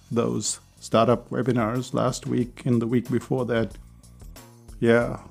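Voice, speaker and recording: A man hosting a casual solo podcast, chatty and persuasive, with his voice hoarse and going. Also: mid-range voice, darkish tone. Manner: chatty, persuasive, with small laughs. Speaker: podcast host.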